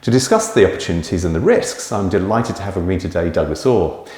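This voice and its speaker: A male interview host with a fairly low voice and a polite, chatty manner. He often closes his points with tag questions. The recording has a noticeably echoey room sound. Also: lively intonation, quick pace, darkish tone. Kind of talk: hosted interview with a scripted intro.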